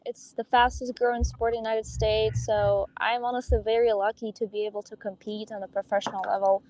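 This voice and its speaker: A young woman speaks openly in a podcast interview, her colouring her English. Her voice sits on the higher side. She talks in a loose, chatty way, with soft laughs and ums between her thoughts. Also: little pitch movement, fairly quick, poor audio. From Russia. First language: Russian. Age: young adult. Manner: open and chatty, with light laughs and filler ums. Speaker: guest, professional pickleball player.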